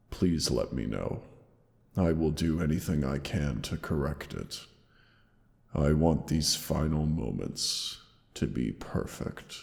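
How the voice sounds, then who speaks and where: A huge old dragon near death, rumbles in an extremely deep voice inside a long, cavernous reverb. He speaks very slowly and solemnly, with weary tenderness, as in a fantasy audio drama. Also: darkish tone, exaggerated voice. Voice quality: deep gravelly, breathy sighs. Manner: slow, solemn, halting, tender endearments. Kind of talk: dramatic roleplay audio monologue.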